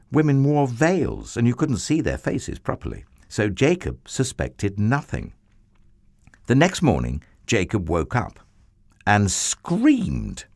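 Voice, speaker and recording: A man telling a children's Bible story in an expressive, theatrical way with drawn-out emphasis. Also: mid-range voice, dry recording, clean studio sound.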